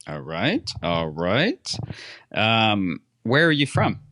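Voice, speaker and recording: A man's voice: fairly low pitch, huge pitch swings, slow, fairly bright tone, no room sound, clean studio sound. A man hosting a podcast, chatting casually and encouragingly with his guest in an upbeat interview. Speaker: podcast host.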